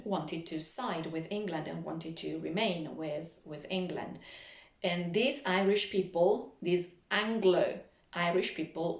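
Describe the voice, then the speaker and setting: A woman teaching literature in an explanatory lecture, speaking didactically with frequent hesitant pauses. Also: on the low side, roomy sound.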